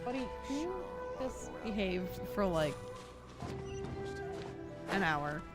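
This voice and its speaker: Animated young woman streaming a game. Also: medium pitch, slow. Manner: rambling, giggly, reactive. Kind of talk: casual live gameplay chat. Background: game music.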